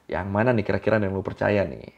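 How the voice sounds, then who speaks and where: A man's voice: on the low side, expressive, fast talker, gravelly, dull audio. A young adult man with a Jakarta Indonesian accent hosts an explainer, chatting casually and in slang, speaking straight to the viewer. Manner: colloquial, slangy, addresses the viewer directly. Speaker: explainer video host.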